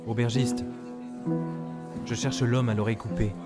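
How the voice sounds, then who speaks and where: Actor as a flat-toned polite stranger. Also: male voice, medium pitch, measured pace, close to an everyday voice. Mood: composed, curious. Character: polite, curious male stranger seeking a storyteller. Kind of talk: radio drama dialogue. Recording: loud guitar music behind.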